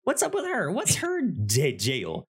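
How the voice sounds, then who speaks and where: A man's voice: on the high side, wildly swooping pitch, measured pace, slightly rough. A man hosting a podcast, chatting in an upbeat, informal way. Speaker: podcast host. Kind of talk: casual podcast chat and housekeeping plugs.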